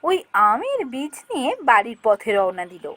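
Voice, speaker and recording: অত্যন্ত ওঠানামা করা সুরের নারী গল্পকথক. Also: female voice, fairly high pitch, huge pitch swings, normal speed, pushed and bright. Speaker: narrator. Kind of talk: scripted fairy-tale storytelling.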